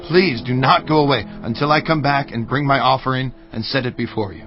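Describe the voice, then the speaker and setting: An actor playing a humble, anxious Israelite farmer, earnest and pleading, in a dramatized scripture production. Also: male voice, medium pitch, a little fast, lightly acted. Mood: doubtful, pleading. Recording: muffled audio with faint music.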